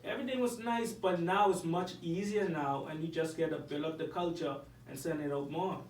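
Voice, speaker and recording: A man's voice: fairly high pitch, fairly even intonation, normal speed, a little hiss or hum. A man with a Bahamian accent, a music artist speaking as a radio guest in a laid-back, plain-spoken way. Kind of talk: casual radio interview. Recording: roomy studio sound, distant from the mic.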